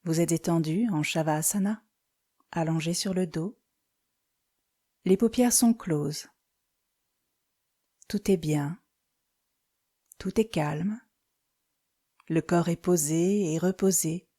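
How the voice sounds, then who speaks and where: Woman doing guided relaxation, soft dark mellow tone, calm n soothing, leaves pauses between phrases. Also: lowish voice. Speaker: yoga nidra instructor. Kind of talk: guided meditation.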